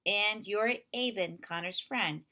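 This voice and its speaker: A woman's voice: mid-range voice, slow, bright, forceful tone. A woman voicing a polite, welcoming woman who leads a children's support group, in a children's novel read aloud.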